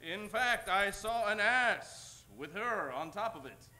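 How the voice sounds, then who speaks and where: High, genteel Western spoof character. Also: male voice, animated, slow, bright, forceful tone, full character voice. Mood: genial, earnest.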